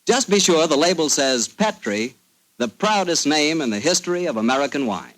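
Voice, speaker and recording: Lively 1940s male radio pitchman. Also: moderate pace, poor audio. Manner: folksy salesmanship. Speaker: radio announcer and sponsor's pitchman. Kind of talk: 1940s radio advertising announcer.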